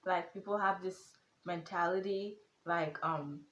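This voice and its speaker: A woman's voice: lowish voice, fairly even intonation, a little slow, a bit bright, roomy sound, lo-fi sound, slight background noise. A teenage girl from Nigeria with a Nigerian accent tinged with British and American, speaking earnestly and emphatically in a casual vlog. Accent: Nigerian, with some British and American. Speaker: content creator.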